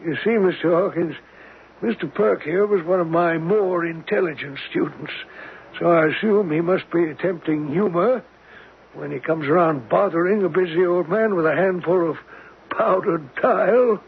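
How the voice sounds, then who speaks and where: An actor playing a testy old university professor in a radio drama, raspy, dry and condescending. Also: male voice, high-pitched, normal speed, heavily acted. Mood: dry sarcasm. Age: older. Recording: muffled old radio broadcast recording.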